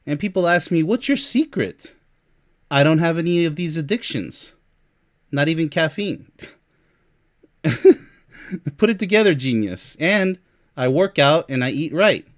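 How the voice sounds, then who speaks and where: A man's voice: a little high, expressive, unhurried, pauses often. A man in his early forties giving a blunt, boastful monologue with sarcastic asides and short laughs, reading points off a list. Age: 40s. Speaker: relationship coach and vlogger. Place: Europe.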